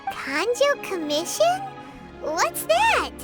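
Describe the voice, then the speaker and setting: A woman voicing a small, chirpy, childlike fairy companion in a video game, earnest and excitable. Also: extremely high pitch, slow, full character voice. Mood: curious. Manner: refers to self in third person, chirpy. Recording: background music under voice.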